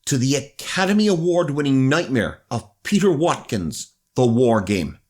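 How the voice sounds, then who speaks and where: An Irish man narrates in a scripted documentary style. His medium-pitched voice is measured, with a storyteller's sense of drama. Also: big pitch swings. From Ireland. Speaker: presenter.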